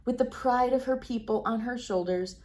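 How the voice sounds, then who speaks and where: A woman reading a children's story aloud as host, with dramatic, deliberate phrasing and clear emphasis. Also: a little high, darkish tone. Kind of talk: expressive picture-book read-aloud.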